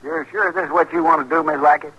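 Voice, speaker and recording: Crusty, high-voiced frontier judge. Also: male voice, sing-song, fast, gravelly, pushed and bright, full character voice. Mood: wry, disgruntled. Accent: American. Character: crusty, blunt, impatient frontier circuit judge. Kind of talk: 1940s-50s radio drama acting. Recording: dull, noisy old radio recording.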